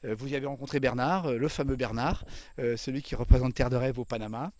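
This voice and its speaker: A man's voice: medium pitch, steady tone, quick pace, a little mellow, dry recording. A man interviewing a guest, asking polite questions in formal address.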